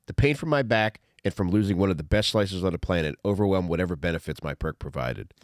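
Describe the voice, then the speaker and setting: A man's voice: deep voice, animated, quick pace, no echo, studio quality. A middle-aged man reading a memoir aloud with blunt, profane, conversational delivery. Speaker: author reading own memoir and podcast host. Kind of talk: audiobook reading with casual comic asides.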